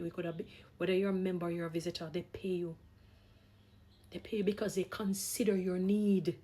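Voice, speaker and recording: A woman's voice: medium pitch, a little mellow. A woman vlogger giving opinionated talk in an emphatic, exhorting manner, repeating phrases for stress. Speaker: vlogger, gospel singer.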